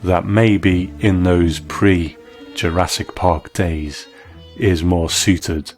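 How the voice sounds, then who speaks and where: Male host, deep voice, explanatory review narration, enthusiastic commentary, faint music underneath. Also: steady tone, very slow, darkish tone. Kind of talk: scripted video essay commentary.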